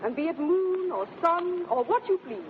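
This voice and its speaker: Brief: a spirited wife, high and very animated, theatrical 1930s radio Shakespeare on a tinny recording. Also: female voice, moderate pace. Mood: weary submission. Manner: theatrical, emphatic. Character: spirited, sharp-tongued wife learning to submit. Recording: narrow, noisy vintage 1930s radio recording.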